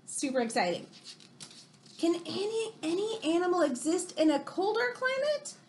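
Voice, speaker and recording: An American woman in her thirties reading a picture book aloud like a teacher to children, expressive and exclamatory, with chatty questioning asides. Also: very high voice, moderate pace, a little hiss or hum. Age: 30s. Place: room in a home, indoors.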